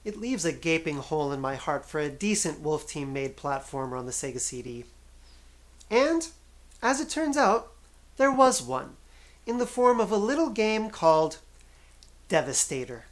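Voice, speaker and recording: A woman's voice: deep voice, sing-song, normal speed. A woman narrating a scripted video game review as its host, with wry, sarcastic asides and emphatic stresses.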